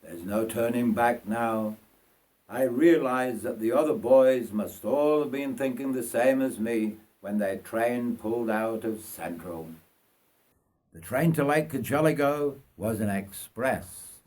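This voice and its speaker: An older man from North Yorkshire reads his own memoir aloud in a measured, reflective storytelling manner. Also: mid-range voice, a little mellow. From North Yorkshire, England. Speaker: writer reading own memoir. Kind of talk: scripted autobiographical storytelling.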